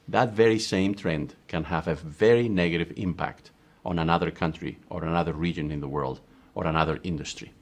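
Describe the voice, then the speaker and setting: Deep-voiced Spanish-accented professor lecturing. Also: male voice. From Spain. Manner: measured, emphatic lecturing with rhetorical questions. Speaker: university professor. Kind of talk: recorded online course lecture.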